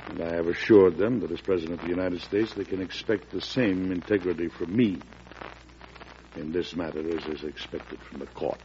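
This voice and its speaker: An actor playing a principled, steady President in a classic radio drama, measured, earnest and formal. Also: male voice, low voice, dull audio. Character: principled, steady, dignified President of the United States. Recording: noisy, band-limited old radio recording.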